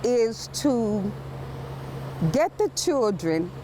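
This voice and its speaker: A woman crossing guard speaks at an unhurried pace in short, plain phrases. Her high voice swings widely in pitch, and clear street noise with vehicles runs behind her. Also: sing-song. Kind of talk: interview answers and calls to passers-by. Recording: noisy outdoor street with passing vehicles.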